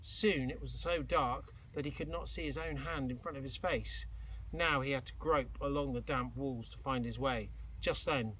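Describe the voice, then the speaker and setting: A man reading a children's storybook aloud like a teacher, expressively and dramatically, with suspenseful pauses. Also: mid-range voice, a bit bright, husky, low-quality recording. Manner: dramatic, with exclaimed sound effects and suspenseful pauses.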